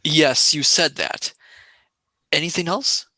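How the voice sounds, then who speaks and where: A woman's voice: deep voice, slow pace, sharp tone, dry recording, exaggerated voice. A performer playing a wry, sardonic young male space traveller who turns sarcastic and wheedling in a radio play.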